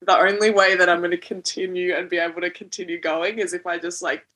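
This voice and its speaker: A woman hosting a podcast in casual interview chat, chatty and quick to react with exclamations and the odd swear. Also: on the low side, little pitch movement, fairly bright tone. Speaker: podcast host.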